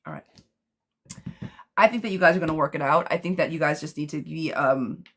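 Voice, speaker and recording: A woman with a hoarse, smoky voice, doing a chatty live tarot reading while sick with a cough. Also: deep voice, a little fast, fairly bright tone. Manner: chatty, reassuring, calls listeners girlfriend. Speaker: tarot reader.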